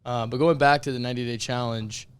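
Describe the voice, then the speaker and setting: A young man with a raspy, worn-out voice co-hosts a laid-back podcast. His tone stays even and flat. Under the rasp, the sound is still smooth and clear. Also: medium pitch, fast. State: voice worn out from yelling. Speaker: podcast co-host. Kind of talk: casual podcast chat.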